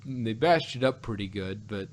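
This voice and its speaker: A man co-hosting a casual review podcast, rambling along haltingly and often repeating words as he goes. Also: fairly low pitch. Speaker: podcast co-host reviewing audio dramas.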